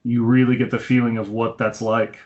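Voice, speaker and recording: Slightly dull, lo-fi internet call audio carries a man with a mid-pitched voice. He co-hosts a film podcast, talking in a loose, rambling, conversational style. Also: little pitch movement, a little fast. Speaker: podcast co-host reviewing films. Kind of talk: casual film discussion.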